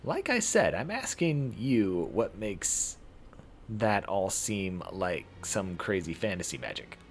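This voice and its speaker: A man voicing an excitable, incredulous visual novel character who argues in exasperation and bursts into high outcries. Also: slow. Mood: outraged.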